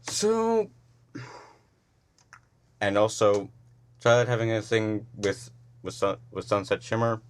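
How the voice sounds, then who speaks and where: Low-voiced man rambling casual commentary. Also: sing-song, smooth. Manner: rambling, halting, restarts words. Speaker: co-host commenting on a reading.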